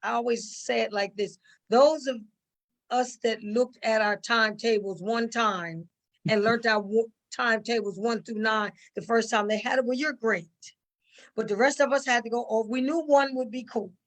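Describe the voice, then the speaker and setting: A woman's voice: fairly high pitch, steady tone, normal speed, low-quality recording. A middle-aged woman answering conversationally, telling stories emphatically with repetition and quoted asides. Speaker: stage play cast member.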